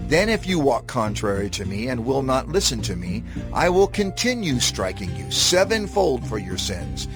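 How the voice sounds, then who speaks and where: A stern, wrathful God, sweeping intonation. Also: male voice, medium pitch. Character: God of Israel, stern wrathful lawgiver warning his people. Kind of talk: dramatized scripture reading.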